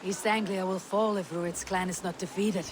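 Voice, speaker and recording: A woman playing a stern, resolute Viking raider leader in a game, speaking in a blunt, measured, commanding way. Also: mid-range voice, fairly even intonation, noisy background, close to an everyday voice. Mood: persuasive, firm.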